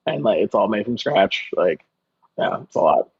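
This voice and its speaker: A man's voice: on the low side, sing-song, normal speed, slightly rough, muffled recording. A man chatting casually as a guest chef, telling stories in a joking, self-deprecating way. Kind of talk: casual conversational storytelling.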